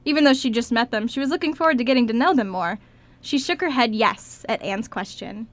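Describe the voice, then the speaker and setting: A woman's voice: fairly high pitch, expressive, brisk, dry recording. A woman reading scripted story narration as the narrator of an amateur radio play.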